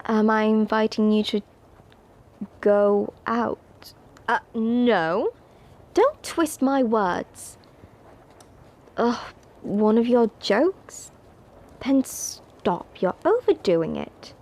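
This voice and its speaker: A haughty young upper-class schoolgirl, played by a woman in a wizard-academy ASMR roleplay. Her voice sits a little high and she speaks very slowly. She is prim and snooty, scolding and teasing the listener as she talks. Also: darkish tone. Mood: flustered, scolding.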